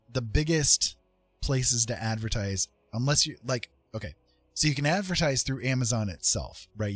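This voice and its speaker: A man's voice: medium pitch, dry recording. A man giving casual advice to viewers as a livestream host, talking in an informal, rambling way and often checking in with the listener.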